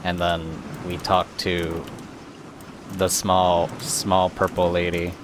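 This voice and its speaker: Low-voiced, slow, halting male streamer. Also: monotone. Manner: halting, searching for words, self-mocking. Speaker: game streamer. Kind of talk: casual gameplay commentary. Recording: clearly noisy, game sound behind.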